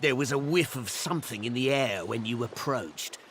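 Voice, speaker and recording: An actor playing a menacing, sadistic inquisitor in a video game, speaking in an ornate, taunting, theatrical way. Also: male voice, mid-range voice, normal speed, a bit bright, natural voice. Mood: gloating menace. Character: sadistic, menacing male inquisitor hunting sorcerers.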